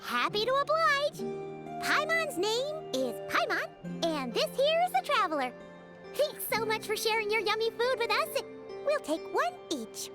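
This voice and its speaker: A woman voicing a small, excitable, chatty female fairy companion in a video game, chirpy and exclamatory. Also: very high voice, measured pace, over loud music, exaggerated voice. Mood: excited. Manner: exclamatory, chirpy, speaks of herself in third person. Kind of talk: video game character acting.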